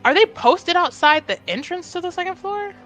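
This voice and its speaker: A woman's voice: very high-pitched, animated, moderate pace, fairly bright tone. A woman chatting casually and jokingly as a player in a tabletop game. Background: music.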